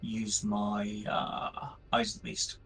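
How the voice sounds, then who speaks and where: A man's voice: on the low side, monotone, slow pace, gravelly, a bit bright, a little hiss or hum. A man chatting casually as a player in a tabletop roleplaying game.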